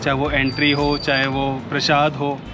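Man, 30s, food vlogger, casual and relaxed, handheld camera, background music. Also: mid-range voice. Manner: relaxed and chatty, with filler pauses. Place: airplane-themed restaurant, indoors and outdoors. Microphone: action camera held at arm's length.

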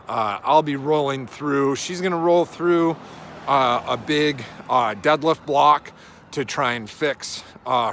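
A man's voice: mid-range voice, a bit bright. A man in his thirties, a coach, rambling casually and thinking aloud as he walks outdoors, talking into a handheld phone. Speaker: strength and conditioning coach. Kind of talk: casual walking vlog monologue. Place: outdoors on a suburban residential street. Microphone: phone held at arm's length.

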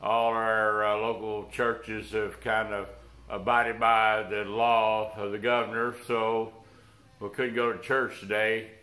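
An older man talks slowly through a how-to in a workshop. His voice is scratchy from flu but has a forceful edge, and he speaks in halting stretches. The room adds noticeable echo. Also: fairly low pitch, little pitch movement. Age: older, around 60s. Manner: halting, folksy. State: unwell with flu. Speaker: host of a workshop restoration vlog. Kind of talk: unscripted how-to talk to camera. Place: cluttered workshop, indoors.

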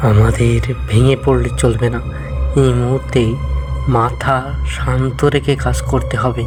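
A cocky, breezy boy from a fairy-tale cartoon, acted by a man. He sounds mischievous and sure of himself, at a middling pitch with music underneath. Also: flat delivery, unhurried, natural voice. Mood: calm, scheming. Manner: breezy, self-assured. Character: cocky, carefree mischievous boy who takes charge.

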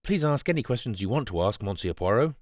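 A male narrator plays a young British baronet in a storybook reading. He sounds impulsive and strained, nervously defiant, with a lively, lifted voice in a dry recording. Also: mid-range voice, quick pace, dry recording, pitched above the performer's own voice, close to an everyday voice.

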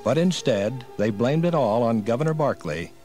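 Casting: male narrator for dramatized history, medium pitch, light music underneath. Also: animated, moderate pace, darkish tone.

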